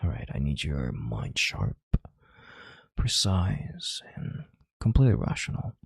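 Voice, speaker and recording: A man playing a calm, domineering CEO in an intimate audio roleplay, with a deep, gravelly, breathy voice and a measured, condescending yet tender manner. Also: no echo, studio quality, heavily acted. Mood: commanding, reassuring. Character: calm, domineering male CEO soothing a panicked partner.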